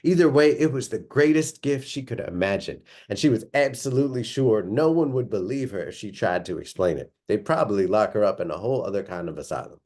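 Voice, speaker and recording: Male storyteller: expressive read-aloud narration, dramatic, lingering on descriptions. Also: on the low side, brisk, hardly any pauses. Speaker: author or reader reading a story aloud.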